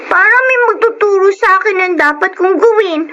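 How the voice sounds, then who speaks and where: A woman's voice: extremely high pitch, normal speed, bright, forceful tone. A woman voicing a sweet, curious young girl who talks politely and eagerly in a radio drama. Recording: dull lo-fi radio recording.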